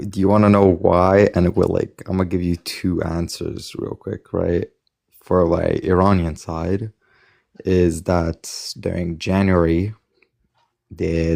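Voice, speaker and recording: A man's voice: deep voice, creaky, darkish tone. A man insistently explaining his views as a guest in an online debate. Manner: insistent, repeats himself.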